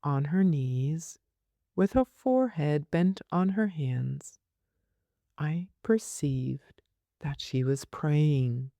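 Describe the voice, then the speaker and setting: A woman reading a literary novel aloud as an audiobook narrator, in a measured, deliberate manner. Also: low-pitched, animated, dark tone, dry recording. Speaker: audiobook reader.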